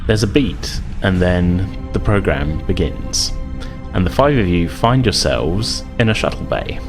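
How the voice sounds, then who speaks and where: Male game master, deep register, deliberate and halting tabletop narration over a light music bed. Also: animated. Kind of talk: improvised tabletop roleplay narration. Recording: faint music bed behind voice.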